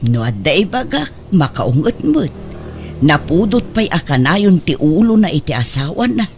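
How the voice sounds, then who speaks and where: Woman acting a low-voiced, nagging older Ilocano mother. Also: very animated, moderate pace, darkish tone, a little hiss or hum. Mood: exasperated. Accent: Ilocano Filipino. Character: nagging older mother, exasperated but fond of her child. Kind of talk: Ilocano audio drama acting.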